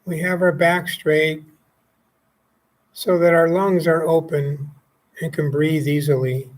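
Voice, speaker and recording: A man leading a guided meditation as a teacher, speaking calmly and gently in short phrases with pauses. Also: on the high side. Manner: calm, gently instructive, pausing between short phrases.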